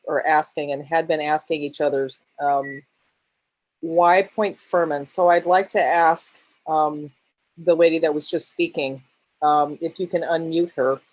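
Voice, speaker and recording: A woman asking questions at an online town hall, polite and hesitant, with many pauses and ums. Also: deep voice, highs cut off.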